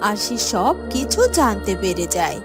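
A woman narrating a Bengali cartoon fairy tale in an expressive storytelling manner. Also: mid-range voice, moderate pace. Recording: music under the voice.

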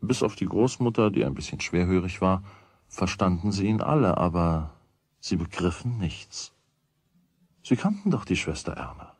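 Wry storyteller, low voice, husky, children's radio play, close dry sound. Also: male voice, animated, moderate pace, a little mellow, dry recording, exaggerated voice. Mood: wry, matter-of-fact. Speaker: narrator.

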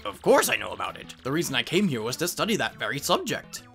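A man voicing a scholarly, fussy, excitable old professor in a game, speaking in formal, old-fashioned phrases. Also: mid-range voice, fast talker, vocal fry, soft background music, exaggerated voice. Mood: scholarly, earnest.

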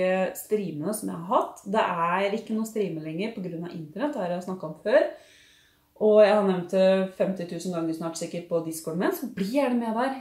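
A young woman with a Norwegian accent chatting in a casual haul vlog, gushing and expressive, with lots of sighs and fillers. She sits at a living-room table in front of a fixed camera. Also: medium pitch, echoey room. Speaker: beauty and lifestyle vlogger. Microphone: fixed camera at table distance.

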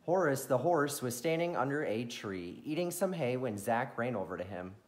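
A man reading a children's picture book aloud as a bedtime story, expressive and playful, with friendly asides. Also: mid-range voice, normal speed. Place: farm, reading at home to camera.

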